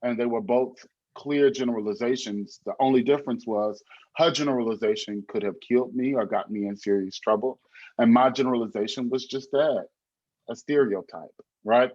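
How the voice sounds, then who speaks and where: A man's voice: medium pitch, normal speed, darkish tone, dull audio. A man speaking as a panelist on a video call, emphatic and preacherly, repeating his key points.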